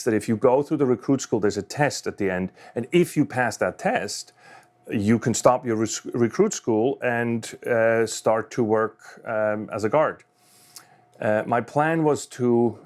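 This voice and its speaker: A man in his fifties from Switzerland, giving a conversational personal talk at a conference, with frequent fillers and restarts. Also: on the low side. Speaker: conference speaker.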